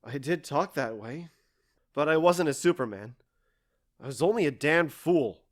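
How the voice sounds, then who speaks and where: A man's voice: a little high, lively intonation, measured pace. A man playing a stoic, wounded lover in a stage-style audio drama, speaking in a formal, restrained and dryly ironic manner. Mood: rueful. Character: stoic, wounded, sardonic man being left by love.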